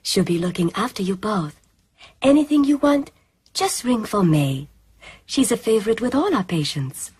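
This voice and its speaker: A woman's voice: medium pitch, very animated, normal speed, darkish tone, breathy edge. A woman playing a genteel clinic matron, gushing and ominously hospitable, in a radio drama. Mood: gushing, solicitous.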